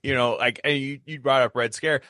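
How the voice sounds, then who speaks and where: A man's voice: mid-range voice, fairly even intonation, brisk, sharp tone, no echo, studio quality. A man chatting casually as a podcaster, talking emphatically in rambling runs and repeating phrases for stress. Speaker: podcaster, guest.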